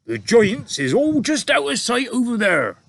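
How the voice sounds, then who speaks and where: A kind, whimsical big friendly giant with a raised, oddly coloured voice, tumbling through playful made-up words and broken grammar, as a man puts him on. A storybook giant, gentle but eager. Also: very high voice, very animated, measured pace, creaky, voice pushed up, exaggerated voice. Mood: cautioning.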